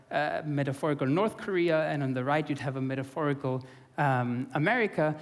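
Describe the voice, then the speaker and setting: A young man from Austria gives an earnest conference talk, his voice sitting slightly high for a man. He fills pauses with ums and restarts his phrases often. His voice carries a long reverb from a large conference hall. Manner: earnest, frequent fillers and restarts. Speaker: conference speaker.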